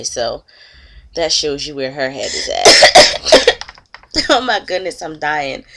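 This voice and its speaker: Slow, congested woman vlogger. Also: mid-range voice, creaky, bright, forceful tone. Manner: chatty, openly disgusted commentary. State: congested, nasal from a cold. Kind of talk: casual gossip chat. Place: at home, indoors.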